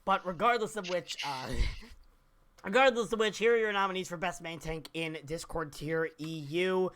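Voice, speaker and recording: Hoarse male podcast host, higher voice, bright tone, casual esports chat. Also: moderate pace, a little hiss or hum. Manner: reads nominee lists, jokes with co-hosts. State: hoarse, losing his voice. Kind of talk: casual esports awards commentary.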